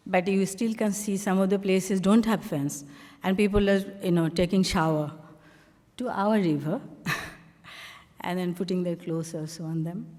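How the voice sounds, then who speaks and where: Bangladeshi woman artist, mellow voice. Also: fairly low pitch, steady tone, in a large hall. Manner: halting, frequent fillers, plain storytelling. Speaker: artist presenting her work on a panel. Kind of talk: conversational talk walking through slides.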